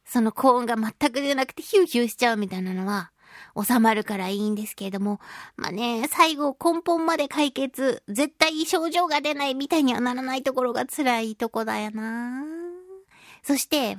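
Female solo radio host: high-pitched, expressive, giggly, chatty delivery in a dry studio sound. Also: normal speed, no echo. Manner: expressive, giggly, uses sound effects and little acted asides. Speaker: radio show host, voice actress. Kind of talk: casual solo radio talk.

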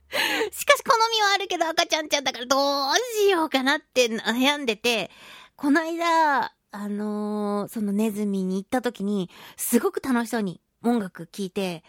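A woman with a fairly high voice hosts a chatty solo radio show. She tells everyday stories in an animated, exclamatory, giggly manner. The sound is close and very dry, as from a studio microphone. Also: normal speed, no room sound. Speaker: radio talk show host; voice actress. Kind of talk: chatty solo radio monologue about daily life.

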